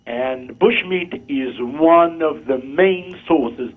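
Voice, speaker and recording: A man's voice: a little high, drawn-out words. A man in his fifties from Morocco, calling in to a talk show and explaining his view in earnest, insistent short phrases. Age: 50s. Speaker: caller. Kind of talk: call-in discussion. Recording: tinny phone line.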